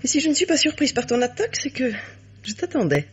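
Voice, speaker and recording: Suave rival woman, mid-high register, lively intonation, sweetly condescending, dull old radio sound. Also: fast talker. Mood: coolly mocking. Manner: honeyed, condescending, coolly ironic. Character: poised, sugary, mocking woman, the suspected rival. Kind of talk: 1950s French radio mystery acting. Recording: dull, noisy vintage radio recording.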